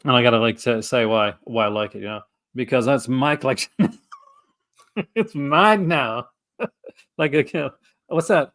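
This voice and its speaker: Brief: a man co-hosting a casual live-stream chat, mid pitch, rambling, laughs a lot. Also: fairly bright tone. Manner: rambling and laughing often, breaks into quick character voices. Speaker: co-host. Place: home setup for a live stream. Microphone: desk mic for a live stream.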